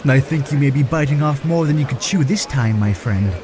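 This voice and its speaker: A man's voice: mid-range voice, expressive, brisk, crowd noise, natural voice. A man playing a cheerful fish-man prince with grand, theatrical flair in an audio drama. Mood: amused.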